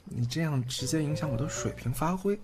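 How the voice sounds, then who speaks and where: 男演员饰演的腼腆爱说话的年轻男友，背景有音乐. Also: male voice, a little high, a little fast, lightly acted. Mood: teasing, happy. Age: young adult. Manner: earnest, boyish, self-deprecating. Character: sweet, bashful, chatty young boyfriend in love. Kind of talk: Chinese audio drama acting. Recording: music under the voice. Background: background music.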